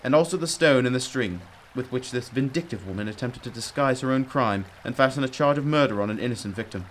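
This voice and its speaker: A man's voice: mid-range voice, fast, slight background noise, close to an everyday voice. A man playing a brilliant, commanding detective in a radio drama, explaining emphatically with old-fashioned courtesy. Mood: assured, explanatory. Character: brilliant, commanding, self-critical male detective.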